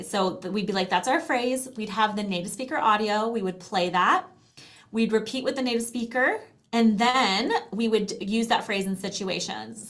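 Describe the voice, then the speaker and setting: Chatty, encouraging American woman. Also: fairly high pitch. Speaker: language program presenter and guest. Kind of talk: casual explanatory product demonstration.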